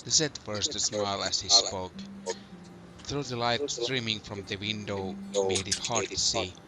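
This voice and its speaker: A man reading scripted lines as the solemn first-person narrator of a fantasy game, in a measured way. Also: on the low side, music in the background, voice pushed down.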